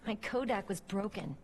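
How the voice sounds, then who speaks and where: A woman's voice: mid-range voice, slight background noise. A woman voicing a young rookie soldier in a video game, tough and idealistic, with a frank, wry delivery. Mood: teasing. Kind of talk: dramatic video game voice acting. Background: background music.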